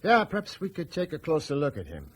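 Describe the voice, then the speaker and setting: A man's voice: a little high, huge pitch swings, fast, husky, exaggerated voice. A man acting in a radio drama as a ruthless, impatient company boss with a brusque, domineering manner.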